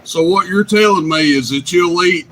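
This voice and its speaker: A man's voice: high voice, normal speed, fairly bright tone. A man teasing and joking with a friend on CB radio. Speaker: CB radio operator. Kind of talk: casual radio banter. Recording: noisy CB radio transmission.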